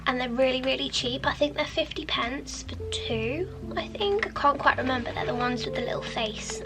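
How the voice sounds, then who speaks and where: Sniffly woman, soft gravelly voice. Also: a little high. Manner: soft, half-whispered, chatty and rambling. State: sniffly with a cold. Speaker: artist vlogger. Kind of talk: casual studio vlog chat. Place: small home art studio.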